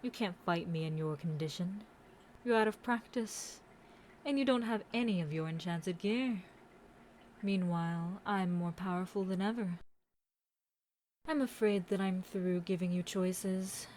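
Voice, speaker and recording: Woman playing a slow, haughty, seductive demon queen. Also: lowish voice, darkish tone, slight background noise, close to an everyday voice. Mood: possessive, mocking. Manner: haughty, deliberate, taunting, theatrical villain delivery. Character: commanding, seductive, scheming demon queen reborn to conquer. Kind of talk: scripted roleplay audio drama monologue.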